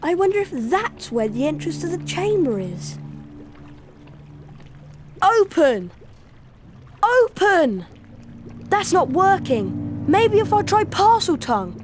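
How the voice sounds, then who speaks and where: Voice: very high voice, big pitch swings, slow, pauses often, music in the background, exaggerated voice. An actor playing a brave, earnest schoolboy wizard in a video game, with an urgent manner. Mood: curious.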